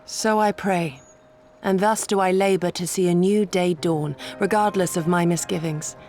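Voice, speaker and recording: A woman's voice: fairly low pitch, steady tone, moderate pace, darkish tone, soft background music, close to an everyday voice. An actress playing a grave, determined shinobi woman in a video game, with solemn, formal, archaic speech. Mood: solemn, sorrowful. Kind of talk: dramatic video game voice acting.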